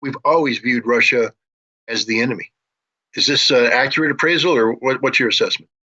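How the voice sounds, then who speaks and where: An older or middle-aged American man discussing geopolitics in a deliberate, explanatory way, as an interviewer with military experience. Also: medium pitch, bright, forceful tone, breathy edge, muffled recording.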